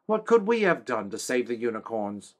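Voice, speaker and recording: A spirited middle-aged village woman with a high, strained voice, as a male reader puts her on. She speaks up boldly in a storybook scene. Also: big pitch swings, moderate pace, natural voice. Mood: pleading.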